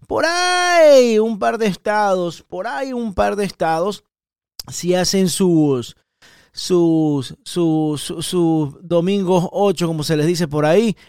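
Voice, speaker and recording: A middle-aged man from Ecuador with an Ecuadorian Spanish accent, hosting an opinionated political podcast in an emphatic, repetitive way with sudden high stresses. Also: darkish tone, clean tone, dry recording, clean studio sound. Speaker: podcast host.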